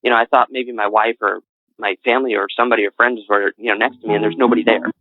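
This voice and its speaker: A man's voice: fairly low pitch, steady tone, fast talker, no room sound. Interview guest, man, faint New England accent, earnest and rambling, dry tinny line. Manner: rambling, self-correcting, earnest. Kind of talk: casual interview talk.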